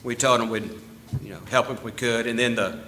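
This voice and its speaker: A man's voice: medium pitch, steady tone, fairly quick, sharp tone, slight background noise. A man giving casual church announcements in a folksy, chatty way, laughing easily and restarting his sentences. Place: church meeting room.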